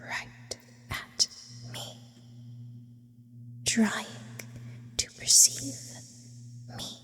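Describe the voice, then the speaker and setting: A woman voicing an immortal, brooding, lonely sleep paralysis demon in an ASMR roleplay, whispering slowly with eloquent, philosophical phrasing. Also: fairly high pitch, bright, forceful tone, exaggerated voice. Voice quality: breathy whisper. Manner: slow, philosophical, ornate wording with lingering pauses. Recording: close whisper with long reverb.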